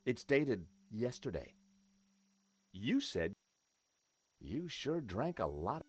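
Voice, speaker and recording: Easygoing, wry sleuth for an adventure game, mid-range voice with hugely lively intonation. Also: male voice, mid-range voice, takes it slowly, breathy edge, lo-fi sound, heavily acted. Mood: wry, inquisitive. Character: wry, inquisitive male insurance investigator, easygoing amateur sleuth. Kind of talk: video game dialogue and narration.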